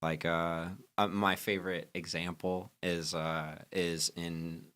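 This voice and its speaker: A young man, a band's songwriter, chatting as a podcast guest, full of fillers and lively when retelling stories. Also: low voice, slow pace. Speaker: band singer-songwriter and guest. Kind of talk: casual podcast interview.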